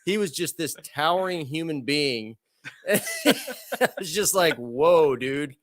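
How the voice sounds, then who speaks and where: A man's voice: on the high side, huge pitch swings, takes it slowly, fairly bright tone, no echo. Chatty joking man in his thirties. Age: 30s. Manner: chatty, joking, lots of "like" and "okay". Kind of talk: casual chat.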